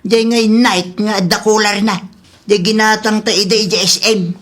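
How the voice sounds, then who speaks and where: A woman's voice: medium pitch, steady tone, moderate pace, close to an everyday voice. A woman playing a cheeky, evasive young member of an Ilocano household in a comedy drama, with an Ilocano Filipino accent. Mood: playful, whiny.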